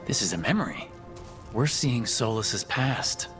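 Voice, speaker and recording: An actor playing an alert, pragmatic fantasy adventurer in a video game, with a conversational, matter-of-fact delivery. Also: male voice, on the low side, expressive, measured pace. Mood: thoughtful, alert. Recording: loud game music behind the voice.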